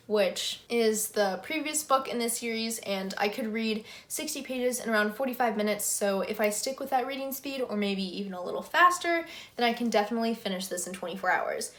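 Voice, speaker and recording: A young woman with a fairly high voice talks casually to her viewers as a vlogger. Her delivery is enthusiastic and conversational, like an informal chat about favorite things. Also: a bit bright. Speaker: book vlogger.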